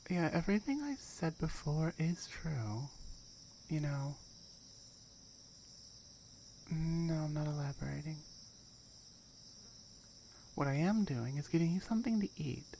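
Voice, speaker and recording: Low, firm tiger girl, voiced by a woman. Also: lively intonation, measured pace, gravelly, long pauses, dark tone, slight background noise, heavily acted. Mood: firm, guarded. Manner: firm, wry, matter-of-fact. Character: firm, dominant tiger girl guarding her jungle territory. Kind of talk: ASMR roleplay monologue.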